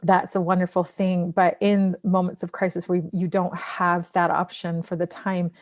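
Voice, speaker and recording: A woman talks casually as a podcast host, sounding appreciative and encouraging. Her voice sits a little low, and the sound is close and dry. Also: level tone, moderate pace, no echo. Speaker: podcast host asking questions.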